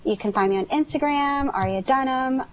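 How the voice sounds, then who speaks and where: A woman's voice: sits high, moderate pace. A woman speaking casually and in a friendly way as a guest actress in an interview. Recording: dull lo-fi line with clear noise.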